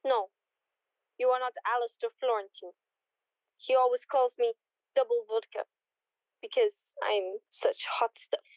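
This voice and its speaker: Woman playing mysterious temptress, high voice. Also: steady tone, measured pace, pauses often, no echo, narrow, tinny audio, natural voice. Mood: knowing, cryptic. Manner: clipped, cryptic, broken English. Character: mysterious, knowing Russian temptress on the telephone. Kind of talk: 1940s radio detective drama acting.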